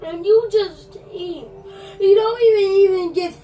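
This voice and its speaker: Very high childlike voice, dramatic puppet play. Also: female voice, measured pace, mellow, faint music behind. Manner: theatrical, dramatic, whiny. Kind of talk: improvised puppet show play-acting.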